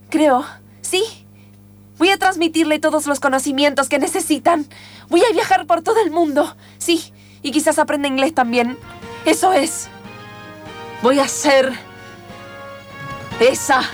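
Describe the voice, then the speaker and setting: A woman acts out an earnest young bike mechanic with big ideals, high-voiced and Argentine. She declares her plans aloud as if in an improvised radio play. Music plays behind her.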